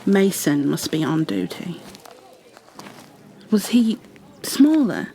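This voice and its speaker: A woman playing a kind, flustered young bookkeeper in a fantasy ASMR roleplay, speaking softly and breathily, often near a whisper, with gentle hesitations and apologies. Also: on the low side, wildly swooping pitch, slow, a little hiss or hum, exaggerated voice. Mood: hushed, urgent, then gentle, wry. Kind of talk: ASMR roleplay, addressed to the listener.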